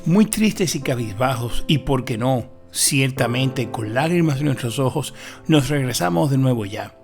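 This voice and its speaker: A man's voice: medium pitch, lively intonation, a little slow, music in the background. A Dominican man with a Dominican Spanish accent hosts a travel vlog, narrating in dramatic, sentimental phrasing. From Dominican Republic.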